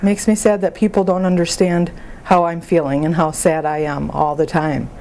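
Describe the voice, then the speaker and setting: Woman acting a grieving, low-voiced young mother. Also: level tone, mellow, close to an everyday voice. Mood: hurt, upset. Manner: halting, plainspoken, confiding. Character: grieving young mother, sad, exhausted, openly hurting. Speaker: grieving mother on a follow-up support call. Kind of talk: role-played counseling phone conversation.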